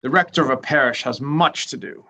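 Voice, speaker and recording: A man's voice: mid-range voice, a little fast, sharp tone. An actor playing a pompous, self-important young clergyman in a staged reading of a period drama, speaking formally and at length. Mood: self-satisfied. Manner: pompous, long-winded, formal.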